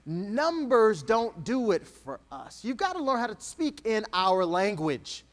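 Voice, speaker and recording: A man from Texas giving a motivational keynote speech to an audience, playful and joking, slowing down for emphatic lines. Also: sits high. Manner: animated, dramatic pauses, slow emphatic dictation. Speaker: motivational speaker.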